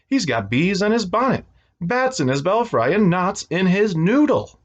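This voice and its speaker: Man acting out fussy scandalized neighbors, voice pitched up. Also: very animated, a little slow, muffled recording, exaggerated voice. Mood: indignant. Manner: exclaiming, scolding. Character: indignant, scandalized neighborhood townsfolk, fussy and gossipy.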